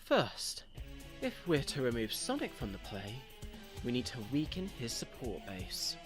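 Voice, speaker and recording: A man's voice: mid-range voice, wildly swooping pitch, music in the background. Man voicing a pompous villainous doctor, swooping theatrical delivery. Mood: controlling, sly. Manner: theatrical, formal, deliberate diction. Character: pompous, scheming villain doctor, a cold analytical schemer. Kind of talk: comic dub villain monologue.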